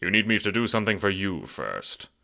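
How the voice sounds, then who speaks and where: A man's voice: fairly low pitch, sing-song, a little fast, gravelly, pushed and bright, heavily acted. A man voicing a blustering older mustached adventurer, incredulous and scornful, in an audio drama. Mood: weary disbelief.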